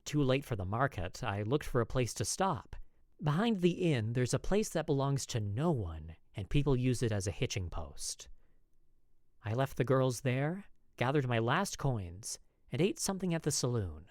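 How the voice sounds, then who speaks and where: Voice actor reading a story like a diary, super expressive, mid pitch, really dry recording. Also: normal speed, no echo. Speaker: voice actor narrating a podfic. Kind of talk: dramatic first-person storytelling, journal-like.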